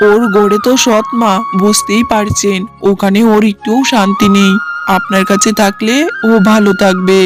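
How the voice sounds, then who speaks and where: A woman's voice: mid-range voice, little pitch movement, moderate pace, natural voice. A woman voicing a weak-willed father who dismisses his daughter and placates his mother-in-law in a cartoon. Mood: placating. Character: weak-willed father, grown man, siding with new wife. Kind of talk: cartoon voice acting. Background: music.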